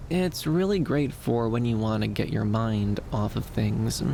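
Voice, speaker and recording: Gentle, shy, gravelly-edged young dream wanderer, played by a man. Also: on the low side, expressive, unhurried, slight background noise. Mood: dreamy, soothing. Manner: soft-spoken, hesitant, soothing, with lilting upturns. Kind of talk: ASMR roleplay.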